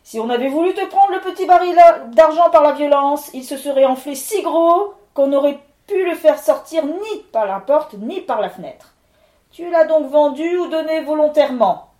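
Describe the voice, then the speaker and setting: A storybook wind spirit lord, male and irascible, thunders his threats and scolds, put on by a woman reader. The voice is raised, loud and pitched high. It rings in a roomy, echoey space. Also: fairly quick, hardly any pauses, lightly acted. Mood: furious. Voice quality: booming, thundering. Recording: roomy echo.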